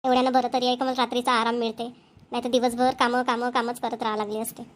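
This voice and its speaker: A woman's voice: on the high side, little pitch movement, quick pace, lightly acted. A woman playing an overworked, plaintive village housewife in a family cartoon, with a rural Varhadi Marathi accent. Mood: complaining. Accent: Maharashtrian, rural Varhadi Marathi.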